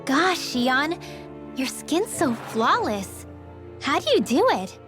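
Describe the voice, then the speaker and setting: A perky teenage girl in a video game. Her high voice gushes and teases girlishly at a leisurely pace, with faint music behind. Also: big pitch swings, slow pace, heavily acted. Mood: admiring. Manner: girlish, gushing. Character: perky, teasing, youngest teenage girl of the group. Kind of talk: video game cutscene acting.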